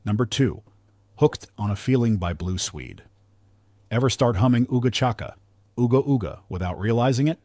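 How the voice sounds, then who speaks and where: A man reading scripted countdown narration in a polished, measured storytelling manner, close on the microphone. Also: medium pitch, animated, warm tone.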